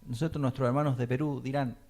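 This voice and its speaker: A man's voice: medium pitch, steady tone, fast, a little mellow. A man teaching a sermon, often checking in with his listeners. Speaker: teacher of a Messianic congregation.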